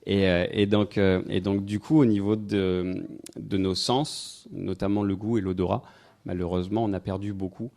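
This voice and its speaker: A man with a low, mellow voice talks to a festival audience, full of hesitations and filler sounds. He rambles loosely from point to point and drops in dry, wry asides. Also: level tone, moderate pace, gravelly. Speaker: speaker giving a talk on incense history. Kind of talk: informal public talk to a live audience. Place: festival evening event, indoors.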